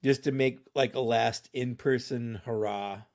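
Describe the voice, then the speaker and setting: A man's voice: medium pitch, fairly even intonation, a little slow, darkish tone. A man chatting as a guest on a live show, joking and laughing easily. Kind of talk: casual live chat.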